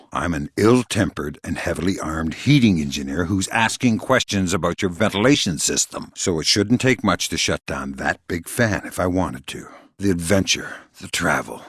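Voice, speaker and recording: A middle-aged video game operative with a very deep, husky, gravelly voice. He is cool and sardonic, delivering threats in a dry deadpan. The sound is close and dry, with no room on it. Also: no room sound, exaggerated voice. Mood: deadpan, wry. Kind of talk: video game voice acting, isolated dialogue lines. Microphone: close studio microphone, dry.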